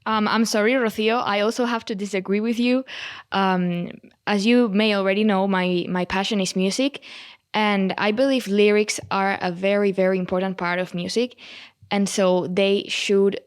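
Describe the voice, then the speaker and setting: A nineteen-year-old woman with a Spanish accent speaks as a student podcast panelist. Her voice is clear and resonant. She argues her point earnestly. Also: medium pitch, fairly even intonation, normal speed.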